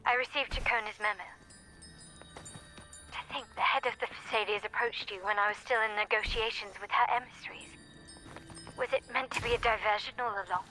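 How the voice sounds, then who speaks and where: A woman's voice: fairly high pitch, bright, forceful tone, rough recording. An actress voicing a resolute, protective noblewoman in a video game, with a formal, determined manner. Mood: formal concern. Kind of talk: video game dialogue acting.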